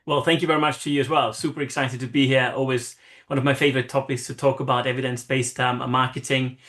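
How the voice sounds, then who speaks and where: A man's voice: mid-range voice, little pitch movement, fast, fairly bright tone. A man in his forties with an Austrian accent, giving casual interview answers over a video call, enthusiastic and rambling with fillers. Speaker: guest, marketing consultant.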